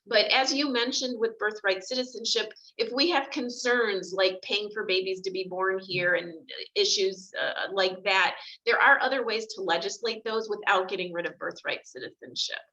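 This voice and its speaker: A woman's voice: medium pitch, steady tone, moderate pace, hardly any pauses, sharp tone, rough recording. A woman immigration attorney chatting on a video call, talking easily with frequent fillers. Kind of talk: video call panel discussion.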